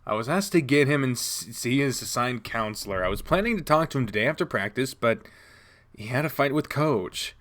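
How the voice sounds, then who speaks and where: A man voicing a troubled, guarded teenage boy athlete in a low, subdued way. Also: animated, a little fast, fairly bright tone, lightly acted.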